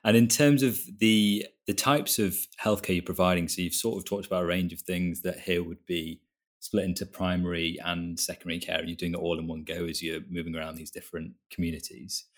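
A man interviewing a doctor, asking measured questions with hedging phrasing like "sort of". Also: deep voice, steady tone.

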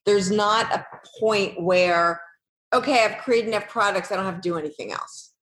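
A woman's voice: medium pitch, normal speed, a bit bright, echoey room, low-quality recording. A woman hosting an interview, affirming her guest and thinking aloud with fillers. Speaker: interviewer, host. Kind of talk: conversational interview.

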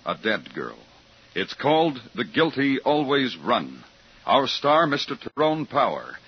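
A man's voice: medium pitch, very animated, a little slow, slightly rough. An American man announcing a radio show in a dramatic 1950s style. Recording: muffled 1950s radio recording, loud music.

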